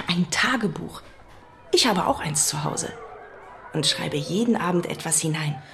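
A woman's voice: sits low, expressive, measured pace, creaky, music in the background, full character voice. A woman playing a patient, encouraging primary school teacher in a radio drama. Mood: gentle, encouraging. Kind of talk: German radio drama acting.

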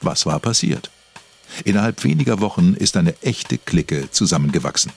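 Low raspy guy narrating a mock serious radio doc, faint music underneath. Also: animated, moderate pace. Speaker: documentary narrator.

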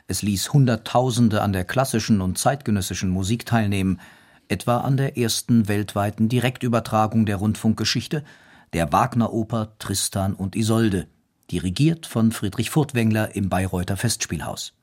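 A man's voice: on the low side, normal speed, a little mellow. A man reading scripted, essay-like historical commentary for a documentary. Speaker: documentary reader.

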